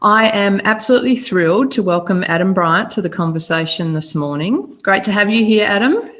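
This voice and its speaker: A woman's voice: medium pitch, lively intonation, brisk, fairly bright tone. A woman hosting an interview podcast, enthusiastic as she welcomes her guest and reads his bio. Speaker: podcast host.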